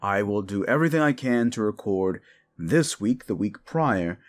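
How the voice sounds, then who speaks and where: Low-voiced man chatting casually to listeners. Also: lively intonation, moderate pace. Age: adult with young child. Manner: informal, rambling. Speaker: audio drama creator and host. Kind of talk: casual outro chat to listeners.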